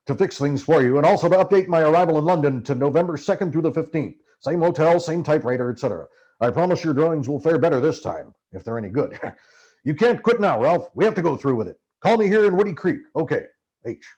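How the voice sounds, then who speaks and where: A man's voice: fairly high pitch, a little mellow, close to an everyday voice. Man voicing a brusque, cynical journalist, dull recording. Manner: brusque, haranguing, skeptical. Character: gruff, profane, cynical male gonzo journalist. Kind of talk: acted audiobook character dialogue.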